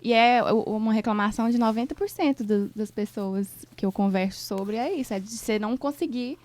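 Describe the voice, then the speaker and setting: Expressive woman telling dramatic anecdotes. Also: a little high, a little fast, dry recording. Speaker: radio co-host. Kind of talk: casual chat. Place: radio studio.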